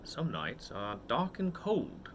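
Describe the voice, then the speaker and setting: Man reading as a slow, fretful storybook homebody. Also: mid-range voice, huge pitch swings, background noise, full character voice. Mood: uneasy musing. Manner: slow, thoughtful musing. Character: pondering, cosy-living, fretful man in a storybook.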